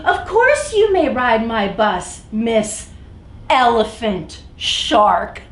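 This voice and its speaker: A woman voicing an obliging male blob bus driver in a picture book, with formal, drawn-out, emphatic announcements. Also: on the high side, sing-song, exaggerated voice. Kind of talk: picture-book read-aloud. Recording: roomy echo, some background noise.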